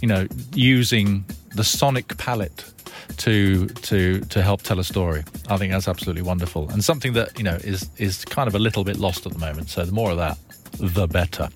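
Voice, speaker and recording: A man with a fairly low voice hosts a podcast over faint music. He speaks conversationally, in a reflective and emphatic way. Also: a little fast. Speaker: podcast host.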